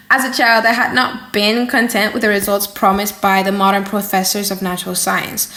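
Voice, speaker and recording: High-voiced woman reading dramatically, echoey room. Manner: theatrical, expressive. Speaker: audiobook reader. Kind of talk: dramatic, slightly silly audiobook narration.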